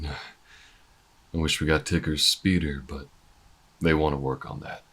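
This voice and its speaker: A man playing an easygoing, weathered alien bounty hunter in an intimate audio roleplay, talking in a folksy, unhurried way with murmured asides. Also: extremely low pitch, level tone, a bit bright, husky, full character voice. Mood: casual, practical.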